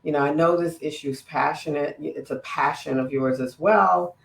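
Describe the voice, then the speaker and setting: A woman's voice: sits low, moderate pace, gravelly, echoey room, poor audio. A woman hosting an online conversation, asking questions with many fillers and stumbling over words, on a video call. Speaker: interviewer, climate equity director.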